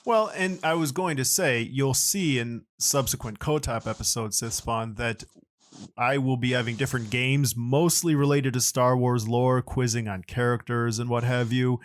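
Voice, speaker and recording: A man's voice: mid-range voice, normal speed. A man hosting a podcast in a casual, chatty style. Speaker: podcast host.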